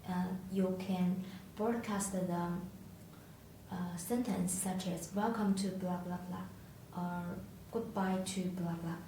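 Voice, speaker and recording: Female product demonstrator, non-native English, lowish voice, halting tutorial delivery, heavily reverberant room recording. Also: fairly even intonation, warm tone. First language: not English. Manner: halting, hesitant delivery with searching pauses. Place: echoey indoor room, tabletop demonstration. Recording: echoey room, distant mic, faint noise.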